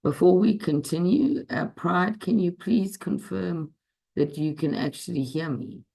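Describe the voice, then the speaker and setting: A woman with a hoarse voice from a cold, giving a measured online medical lecture with frequent pauses and ums, heard through a computer microphone on a video call. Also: fairly low pitch, mellow, low-quality recording. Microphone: computer mic on a video call.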